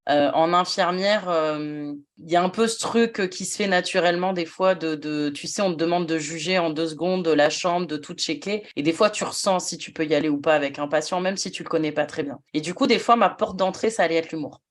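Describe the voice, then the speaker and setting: A woman's voice: low voice, a little fast, few pauses. A woman who was once a nurse, hosting a podcast conversation and talking things through in a reflective way with frequent restarts. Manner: reflective, thinks aloud with many restarts. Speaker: podcast host, former nurse.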